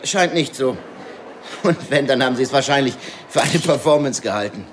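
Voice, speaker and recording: An actor playing a queasy, downbeat police detective in a radio drama, speaking in a blunt, hesitant, wry way. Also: male voice, mid-range voice, lively intonation, fairly quick, natural voice. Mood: dry. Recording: faint location-style ambient noise.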